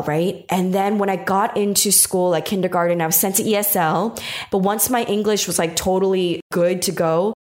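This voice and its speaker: A young woman from New York hosting an interview, talking openly and at length with many fillers, her first language Taiwanese. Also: fairly low pitch. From New York, USA. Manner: warm, emotional, talks at length with many fillers. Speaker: podcast host. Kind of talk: casual conversational interview.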